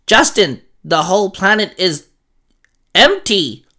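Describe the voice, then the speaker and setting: A man performs an obedient robotic assistant for a sci-fi story. The voice is pitched up high and loud, with a formal, dutiful way of reporting. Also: sing-song, slow pace, exaggerated voice.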